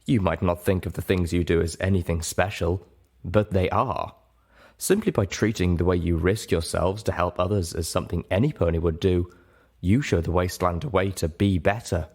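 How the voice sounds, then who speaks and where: A man voicing a composed, kindly female unicorn with a measured, articulate and firm delivery in an audiobook story. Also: low voice, a little mellow, breathy edge. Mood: sincere, reassuring.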